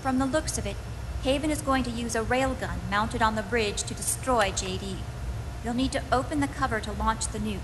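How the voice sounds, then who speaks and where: An actress playing a composed, authoritative female commander in a video game, briefing in a measured, businesslike way. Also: a little high, background noise, close to an everyday voice. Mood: grave, focused. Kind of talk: video game cutscene acting. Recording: long echoing hall, music underneath. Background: music.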